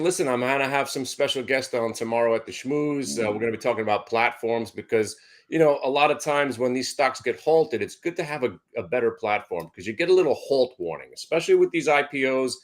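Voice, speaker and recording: Excited male trader, mid-pitch. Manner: excited, repeats himself. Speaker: trading room host. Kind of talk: casual show talk.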